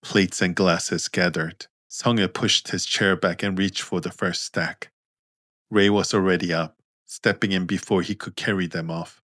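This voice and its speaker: A man narrating scripted fiction as an audiobook, in a measured, understated manner. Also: deep voice, fairly even intonation, a bit bright, no room sound, clean studio sound. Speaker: author and narrator.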